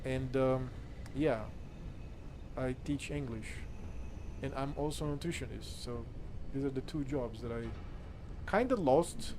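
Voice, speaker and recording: A man's voice: mid-range voice, unhurried, noisy background. Brazilian-accented man streaming a game. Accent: Brazilian Portuguese. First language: Portuguese. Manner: reads game text aloud half under his breath, swears often, loud surprised outbursts. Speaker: gameplay streamer. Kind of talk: casual gameplay commentary chatting with stream viewers.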